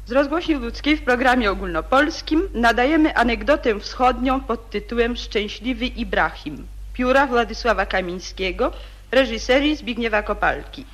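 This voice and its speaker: A woman radio announcer formally reading out a broadcast introduction with a crisp delivery. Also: fairly high pitch, moderate pace, a bit bright.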